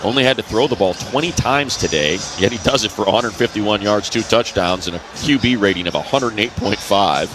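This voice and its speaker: A man's voice: fairly low pitch, brisk, few pauses, over music. A man with an American accent doing live play-by-play commentary of American football on the radio. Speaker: play-by-play sports announcer.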